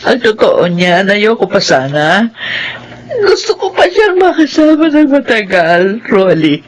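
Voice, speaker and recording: A grieving, prayerful mother in a Filipino radio horror drama. Her mid-pitched voice swings widely with melodramatic feeling and carries a Filipino accent. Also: sing-song, unhurried. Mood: sorrowful. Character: grieving mother, emotional, pious and gentle.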